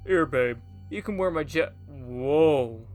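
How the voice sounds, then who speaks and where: A man's voice: fairly high pitch, takes it slowly, faint music behind. A man playing a protective boyfriend in a horror audio drama. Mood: alarmed, urgent. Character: protective boyfriend on a haunted-mansion trip.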